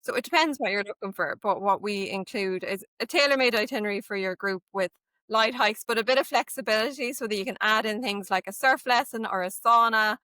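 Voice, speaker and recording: An Irish woman talks in a chatty, explaining way, her speech sprinkled with "like" and "um". Her voice is bright and clear, at a middle pitch, and the recording is dry and close with no room sound. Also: brisk, no room sound. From Ireland. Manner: chatty and explanatory, many "like" and "um" fillers. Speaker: guest, walking tour operator. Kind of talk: casual interview chat.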